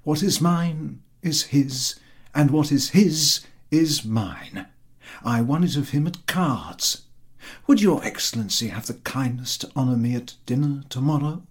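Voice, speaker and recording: A man's voice: mid-range voice, lively intonation, a little slow, breathy edge, pitched above the performer's own voice. A man voicing an eager, boastful landowner who fawns effusively on his superior in a story reading. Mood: boastful, delighted. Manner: obsequious, effusive, over-polite.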